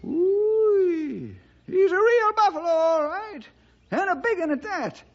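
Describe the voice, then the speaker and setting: An actor playing an excitable, folksy old cowboy sidekick with a high, cracking voice in a radio western. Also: male voice, slow, full character voice. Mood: amazed. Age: older. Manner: folksy, exclaiming, rustic drawl. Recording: dull vintage radio recording.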